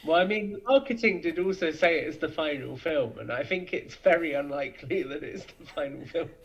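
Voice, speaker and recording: A man's voice: fairly high pitch, animated, a little fast, lo-fi sound. A man giving conversational interview answers as a podcast guest, explaining things with enthusiasm. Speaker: podcast guest, audio drama creator.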